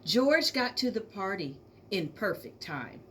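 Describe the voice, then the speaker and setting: A woman reading a children's storybook aloud like an elementary teacher, speaking expressively to young listeners with drawn-out emphasis. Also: medium pitch, big pitch swings, a bit bright, slight background noise.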